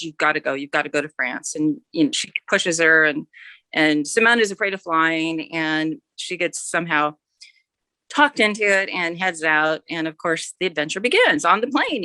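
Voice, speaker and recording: A woman's voice: low-pitched, pushed and bright, no room sound. A mature woman chatting casually as an author guest, rambling a little and correcting herself as she goes. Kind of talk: casual interview chat.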